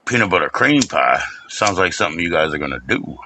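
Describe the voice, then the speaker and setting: A low-pitched man with a very bright, tense edge to his voice. He talks in a loose, laid-back way, dropping swear words and laughing often as he hosts a casual chat. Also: big pitch swings, fairly quick, slight background noise.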